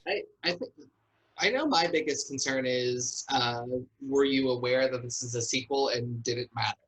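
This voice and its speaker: A man's voice: medium pitch, moderate pace, a bit bright, slight background noise, low-quality recording. A man speaking casually as a playwright discussing his work. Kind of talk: casual chat.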